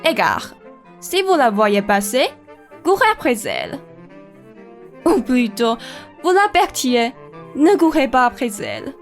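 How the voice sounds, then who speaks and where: Woman acting bossy, high-voiced rich old lady. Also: huge pitch swings, unhurried, long pauses, soft background music, exaggerated voice. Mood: fussy, commanding. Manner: theatrical, exclamatory, imperious. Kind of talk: stage-comedy radio play acting in French.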